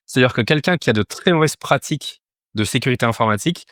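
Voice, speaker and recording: A man's voice: medium pitch, expressive, fast, a bit bright, no room sound, clean studio sound. A man giving an informal step-by-step tutorial as an instructor, explaining things and often posing questions that he then answers. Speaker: instructor teaching a crypto finance course.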